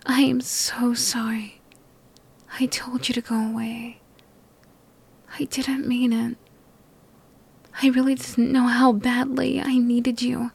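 A young woman whispers slowly and softly, all affection, sounding sick and worn out. Her breathy voice sits a little high and carries a gravelly edge. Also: level tone. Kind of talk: whispered ASMR girlfriend roleplay.

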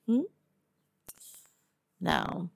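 A woman playing a tough, teasing lizard-person huntress in an immersive audio roleplay, with a Texas Southern accent and a sardonic drawl full of musing pauses and hums. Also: no room sound, studio quality. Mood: teasing, condescending. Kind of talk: one-sided immersive audio roleplay to a captive listener.

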